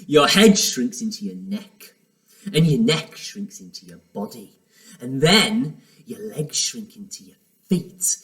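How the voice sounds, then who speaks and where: A woman voicing a gleefully cruel, scheming old husband from a storybook, making loud, drawn-out, mock-solemn pronouncements. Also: extremely low pitch, very animated, vocal fry, pitched below the performer's own voice, exaggerated voice. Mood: menacing, mock-solemn.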